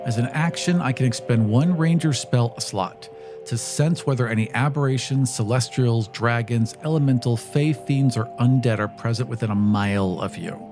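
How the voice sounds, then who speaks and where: A man's voice: mid-range voice, moderate pace, music in the background. A man talking casually at the game table as a player in a tabletop roleplaying game.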